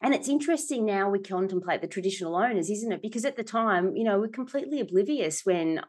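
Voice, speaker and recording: A woman's voice: medium pitch, expressive, fast talker, a little mellow. Chatty Australian woman, middle-aged guest. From Melbourne, Australia. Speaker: guest, company director. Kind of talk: conversational interview.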